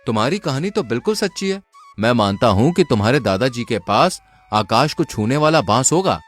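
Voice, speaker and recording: A man's voice: mid-range voice, big pitch swings, brisk, faint music behind. A man voicing a clever young traveler in a Hindi folk tale, polite and confident.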